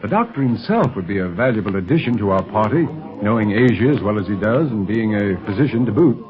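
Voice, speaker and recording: An actor playing a steady adult secret agent who leads the team, sounding calm and assured, in a 1930s radio serial. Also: male voice, lowish voice, fast, crowd noise, natural voice. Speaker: hero's uncle and agent. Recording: muffled vintage radio recording.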